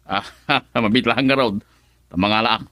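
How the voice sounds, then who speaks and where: A man's voice: on the low side, a little slow, pushed and bright, no echo. An actor playing a sly, cheerful friend involved in drug deals, laughing and teasing as he talks, in a radio drama.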